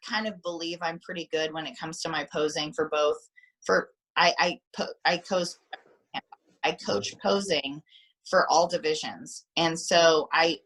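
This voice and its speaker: A woman athlete guest in a casual interview over a video call, animated and repeating herself when excited. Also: lowish voice, low-quality recording.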